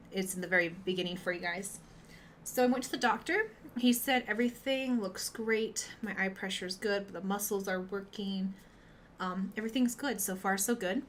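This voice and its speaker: A woman's voice: mid-range voice, fairly bright tone, a little hiss or hum. A young woman with a stuffy nose who sounds nasal, chatting candidly to her viewers over a webcam at home. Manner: chatty, candid, laughs at herself. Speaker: gamer and streamer. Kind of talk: casual chat to viewers.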